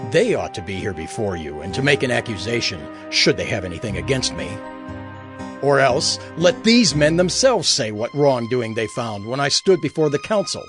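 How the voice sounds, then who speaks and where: An actor playing an earnest apostle on trial, giving an emphatic, oratorical defense in an audio Bible drama. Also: male voice, medium pitch, close to an everyday voice.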